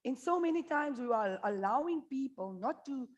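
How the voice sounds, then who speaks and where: A woman's voice: high-pitched, lively intonation, moderate pace, dull audio. A South African woman speaking at a conference, blunt and humorous, talking straight to the room. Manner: blunt, humorous, addresses the audience directly. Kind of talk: candid conference presentation.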